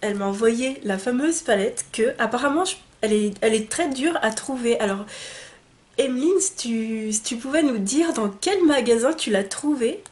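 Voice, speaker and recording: A young woman with a stuffy, blocked nose, chatting casually and excitedly as she unboxes beauty products. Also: fairly high pitch, moderate pace. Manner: chatty and enthusiastic, many fillers and restarts. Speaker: beauty vlogger. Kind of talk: casual unboxing chat to camera.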